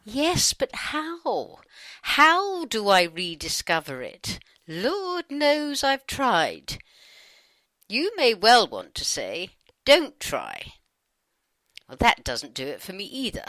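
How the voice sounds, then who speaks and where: A woman reading her side of a scripted dialogue in a conversational, playful way, with emphatic pauses. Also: a little high, huge pitch swings, sharp tone, no room sound. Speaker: channeler reading her own questions.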